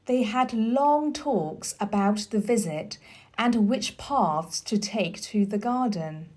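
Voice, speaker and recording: Slow, expressive woman reading a story. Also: mid-range voice. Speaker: storyteller and teacher. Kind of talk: scripted storybook narration.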